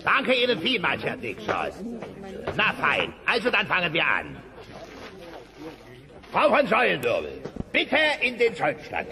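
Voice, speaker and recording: Blustering, wildly swooping dinosaur boss. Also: male voice, sits high, wildly swooping pitch, measured pace, pauses often, a crowd in the background, exaggerated voice. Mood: brisk, official. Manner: pompous, blustering. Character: blustering, domineering dinosaur boss chairing hearing. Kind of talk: German audio drama dialogue.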